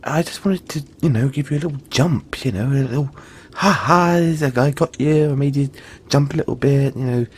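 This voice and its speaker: A man voicing a big, friendly, apologetic yeti in an audio roleplay, speaking gently to the listener in a halting, chatty, playful way. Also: medium pitch, slight background noise, close to an everyday voice.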